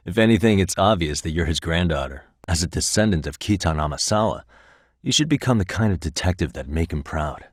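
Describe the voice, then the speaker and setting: A man's voice: deep voice, no room sound. An actor in his thirties with an American accent playing an easygoing, wry private detective in casual video game dialogue. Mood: casual, teasing. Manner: casual, dry wry humour.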